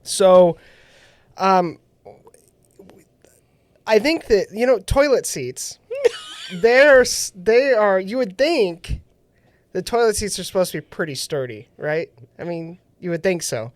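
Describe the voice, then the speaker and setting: A man's voice: sits high, lively intonation, slow, pauses often, no room sound. A man hosting a casual radio talk show, sounding nasal after being sick, laughing often as he tells a story. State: nasal from recent illness. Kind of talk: casual chat.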